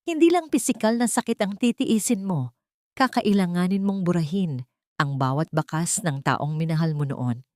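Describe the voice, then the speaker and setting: A woman's voice: fairly low pitch, animated, normal speed, darkish tone, no room sound, clean studio sound, natural voice. A woman voicing a solemn, haunted male surgeon who speaks with commanding intensity in an audio drama. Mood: grave warning. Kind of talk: melodramatic audio drama dialogue.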